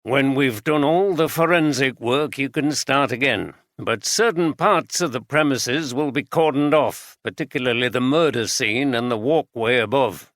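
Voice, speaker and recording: A man doing a calm, polite detective. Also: medium pitch, no echo, clean studio sound, close to an everyday voice. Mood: measured. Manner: polite, measured. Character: calm, patient male police detective.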